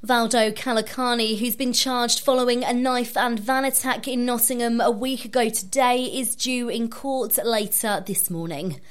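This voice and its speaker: A woman reading a radio news bulletin in a crisp, formal manner. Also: on the high side. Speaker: newsreader.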